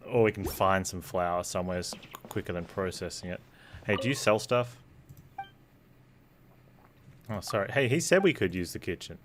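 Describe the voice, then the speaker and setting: Low-pitched man streaming a video game. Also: normal speed, pauses often, slight background noise. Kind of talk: casual gameplay commentary, reading dialogue aloud.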